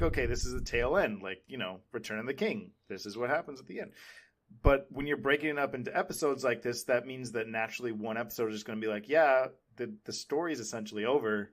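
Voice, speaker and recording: A man co-hosting a podcast in casual discussion, talking in a halting, rambling way and often correcting himself. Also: mid-range voice, fairly bright tone. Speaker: podcast co-host. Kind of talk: casual pop culture discussion.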